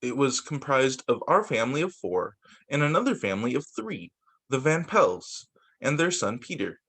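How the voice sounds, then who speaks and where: A man's voice: mid-range voice, big pitch swings, normal speed, a bit bright, slightly rough. A man reading a children's book aloud as a teacher, occasionally stumbling, repeating phrases and adding casual asides. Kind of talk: children's story time read-aloud.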